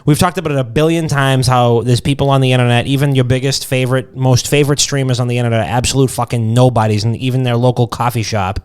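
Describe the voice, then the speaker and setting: A man's voice: mid-range voice, fairly even intonation, quick pace, hardly any pauses, a little mellow, smooth. A man co-hosting a podcast in casual, opinionated chat, blunt and emphatic with lots of cursing. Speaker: podcast co-host.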